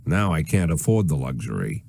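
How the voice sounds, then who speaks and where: An actor playing a middle-aged, world-weary police chief in a noir video game, speaking in a deliberate, wry way. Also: male voice, a bass voice, sing-song, creaky, darkish tone, no room sound, exaggerated voice. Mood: brooding. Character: weary, brooding police chief facing forced retirement.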